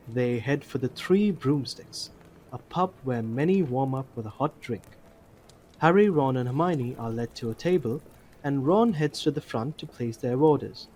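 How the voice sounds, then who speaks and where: A man's voice: mid-range voice, darkish tone. A man narrating a scripted story for an audio drama. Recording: faint background noise.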